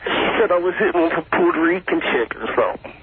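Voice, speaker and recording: A man phoning in to a radio show, chatting in an evasive, slangy, halting way. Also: a little high, expressive. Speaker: phone-in guest. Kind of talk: casual rambling phone chat. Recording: noisy tinny phone line with clicks.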